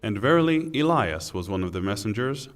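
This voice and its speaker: A man reading a scripture translation in a solemn, formal, deliberate way, with pauses. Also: on the low side, very animated. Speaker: translation reader. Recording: long hall reverb.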